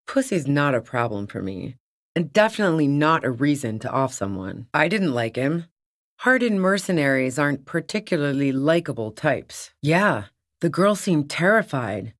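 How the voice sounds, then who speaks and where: A woman's voice: sits low, very animated, measured pace, no room sound, studio quality. A woman voicing a tough, cynical, streetwise smuggler on the run in a video game, with a blunt, sardonic manner. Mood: blunt, dismissive. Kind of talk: video game character dialogue.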